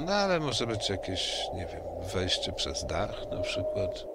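A man's voice: low-pitched, huge pitch swings, measured pace, vocal fry. A man playing a tabletop game, thinking aloud hesitantly and checking details with the game master. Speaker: player. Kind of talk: casual tabletop play. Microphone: computer mic on a video call. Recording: noisy online session audio.

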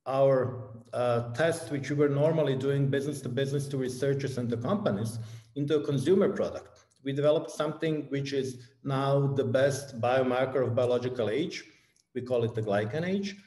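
A man's voice: medium pitch, warm tone. A man in his fifties with a Croatian accent explaining things as a panelist on a video call from a plain office. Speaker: biotech company leader, webinar panelist. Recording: echoey room over video call.

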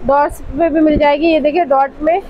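A woman's voice: sits high, fairly even intonation, a little fast, lo-fi sound. A woman hosting a casual shopping vlog, enthusiastically praising and repeating what the seller says. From Pakistan. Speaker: vlog host. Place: indoor fabric shop. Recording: clear background shop noise.

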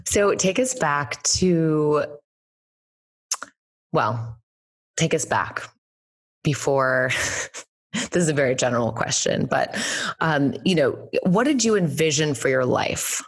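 A woman's voice: sits low, animated, slow, long pauses. A woman in her thirties hosting a podcast, asking questions casually with lots of fillers, speaking into a desk mic at home. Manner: casual, affirming, many fillers. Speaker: podcast host. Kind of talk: interview questions. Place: bright home room, indoors. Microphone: desk condenser mic with headphones.

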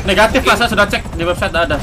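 A man's voice: high-pitched, very fast. A man with an Indonesian accent chattering urgently and repetitively in an online roleplay game. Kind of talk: gaming roleplay chatter over voice chat.

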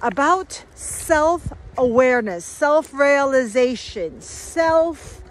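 Upbeat high-voiced woman vlogging outdoors. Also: expressive, poor audio. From Peru, raised in the United States. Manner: upbeat, chatty, drawn-out emphatic phrasing. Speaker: vlogger hosting a weekly giveaway. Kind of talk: casual live selfie vlog. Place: outdoors by a stony riverbed. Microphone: phone held at arm's length.

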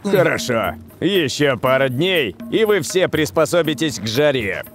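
A stern cartoon football coach, bit high for a man, bossy and dismissive, takes his time. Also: sing-song, measured pace, fairly bright tone, faint music behind, full character voice. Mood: firm, instructive. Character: stern, no-nonsense male football coach, middle-aged. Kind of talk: dubbed cartoon acting.

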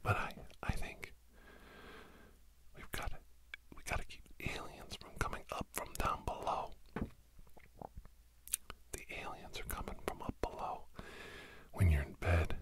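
A middle-aged man in a whispered ASMR podcast monologue, breathy and secretive, rambling haltingly and repeating words, close to the mic. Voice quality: breathy whisper breaking into low murmur. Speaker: podcast host.

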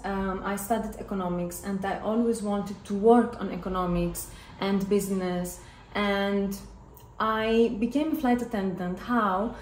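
A woman's voice: medium pitch, darkish tone. A woman in her twenties from Greece, a native Greek speaker with a Greek accent, telling a casual personal story to camera as a vlogger. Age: 20s. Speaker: vlogger and former flight attendant. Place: hard-floored living and dining room at home. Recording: roomy echo with clear background noise.

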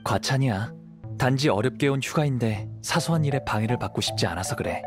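A man voicing a calm, courteous young wandering swordsman in a video game, speaking softly, politely and with measured thought. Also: medium pitch, music in the background, close to an everyday voice. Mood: thoughtful, gentle.

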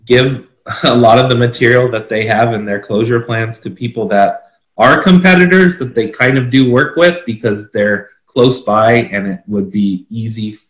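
A man in his forties explaining requirements informally and haltingly, repeating words as he goes. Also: mid-range voice. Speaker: consultant explaining a standard. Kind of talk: explanatory talk, reading requirements aloud. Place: small indoor office. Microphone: video call computer microphone. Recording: echoey room, video call.

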